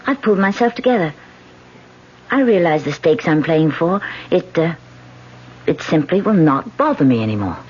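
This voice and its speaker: A woman's voice: low-pitched, sing-song, moderate pace, pauses often, a little mellow, breathy edge, exaggerated voice. An actress in a 1970s radio drama playing a guarded woman with a secret, tense and evasive. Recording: dull vintage radio broadcast.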